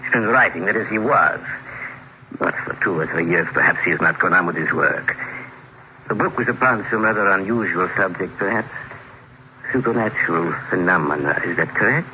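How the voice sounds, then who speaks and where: A man acting as a composed, probing doctor narrating a ghostly radio tale in a deliberate, formal way, on an old recording. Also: deep voice, bright, forceful tone, lo-fi sound, slight background noise. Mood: grave, measured. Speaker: narrator and lead actor.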